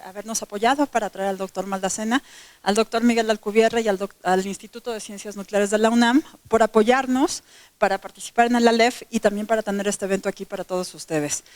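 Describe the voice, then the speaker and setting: A woman's voice: medium pitch, dry recording. A woman opening an academic event with thanks, hesitant and searching for words. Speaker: event organizer.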